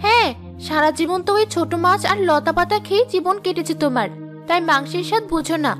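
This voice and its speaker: A woman voicing a hot-tempered, boastful young village wife in a cartoon, with a demanding, sharp-tongued manner. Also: very high-pitched, quick pace, soft background music, pitched above the performer's own voice. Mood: scornful. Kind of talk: cartoon character dialogue.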